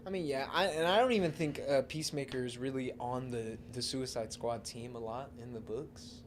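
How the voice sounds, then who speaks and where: A man's voice: medium pitch, moderate pace, a little hiss or hum. A man hosting a podcast, chatting casually about films and comics in an informal, slangy way. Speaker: podcast host.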